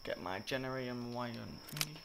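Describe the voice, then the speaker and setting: Croaky young man, gravelly. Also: medium pitch, animated, fast. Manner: rambling, self-correcting, filler-heavy. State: croaky, sick voice. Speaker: gaming tutorial creator. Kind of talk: casual gameplay tutorial commentary. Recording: loud game noise behind. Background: game sound effects and clicks.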